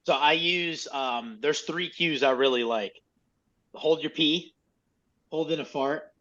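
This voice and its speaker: A man's voice: a little high, takes it slowly, bright, forceful tone. Male coach on dull call audio. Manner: informal, mildly profane. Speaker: coach leading a group call. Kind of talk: casual instructional talk. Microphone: video call.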